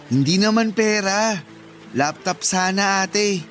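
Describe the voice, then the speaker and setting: A cheeky younger brother voiced by a man in a radio drama, with a very high-pitched voice. He is a playful student who cajoles and wheedles his sister. Light music runs behind him. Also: slow, a bit bright. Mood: wheedling.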